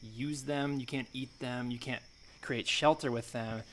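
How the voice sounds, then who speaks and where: A man improvising a naive, falsely modest amateur thinker in a comedy podcast, musing in a halting, hedging way. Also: medium pitch, fairly even intonation, a bit bright, close to an everyday voice. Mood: tentative, musing.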